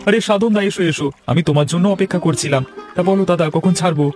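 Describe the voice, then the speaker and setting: Male actor as businesslike village boat trader, cartoon tale, higher-pitched, hearty, brisk. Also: darkish tone, soft background music, close to an everyday voice. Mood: eager, businesslike. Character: busy boat trader organising his crew. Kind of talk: Bengali cartoon story dubbing.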